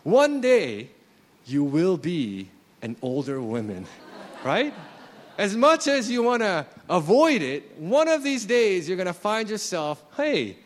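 A man's voice: sits high, big pitch swings, slow pace. A man in his late thirties, a pastor, preaching a sermon in a conversational, self-deprecating way. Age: 30s.

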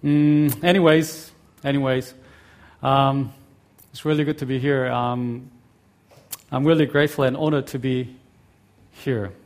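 A middle-aged man with a mix of Korean, Australian and American accents, a Korean native speaker, preaching as a guest pastor in a friendly, halting way with many fillers. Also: medium pitch, fairly even intonation. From South Korea. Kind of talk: sermon.

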